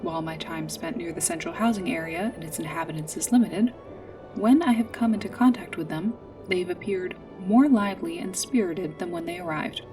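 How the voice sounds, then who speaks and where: A woman playing a polite android guide in a sci-fi ASMR roleplay, speaking in a formal, precise, measured way. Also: mid-range voice, animated, distant mic, close to an everyday voice. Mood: matter-of-fact. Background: music.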